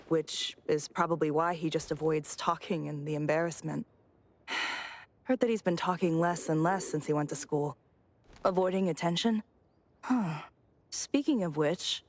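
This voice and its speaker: A woman's voice: on the low side, darkish tone. An actress playing a chatty, nosy but kindly neighbourhood mother in a video game, rambling and theatrical. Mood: reflective. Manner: gossipy, rambling, theatrical sighs. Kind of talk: video game dialogue acting.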